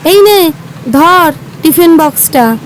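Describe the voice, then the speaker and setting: A woman's voice: extremely high pitch, animated, slow, a little hiss or hum, full character voice. A woman playing a kind-hearted, earnest schoolgirl in an audio story. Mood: gentle, kindly.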